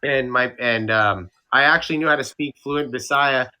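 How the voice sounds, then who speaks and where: Bright-voiced halting young man. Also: medium pitch. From Canada. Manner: rambling, halting with many fillers and restarts. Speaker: podcast guest, streamer. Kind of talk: casual interview chat.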